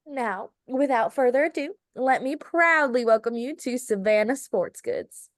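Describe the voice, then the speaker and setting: A woman's voice: a little high, lively intonation, unhurried, dry recording, clean studio sound. A woman playing a gracious, poised beauty queen giving a ceremonial speech at a screenplay table read. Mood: proud, gracious.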